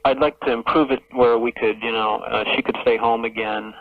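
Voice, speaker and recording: A man calling a radio show, talking casually in a hesitant, halting way. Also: mid-range voice, fairly even intonation. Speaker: caller to a radio advice show. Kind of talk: casual phone conversation. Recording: narrow phone line with faint noise.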